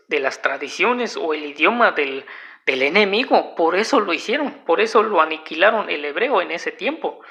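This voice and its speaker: Man, non-native speaker, slow gravelly delivery with a tense edge, dull lo-fi home recording. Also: fairly high pitch, pushed and bright. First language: a language other than Spanish. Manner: halting, circles back and restates points. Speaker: video lecturer. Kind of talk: unscripted explanatory monologue.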